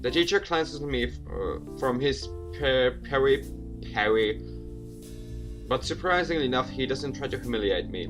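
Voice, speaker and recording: A man's voice: medium pitch, animated, moderate pace, music in the background. A man hosting a gaming video, reading a visual novel aloud with theatrical flair and casual asides, stumbling over words. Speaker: video game let's player, host.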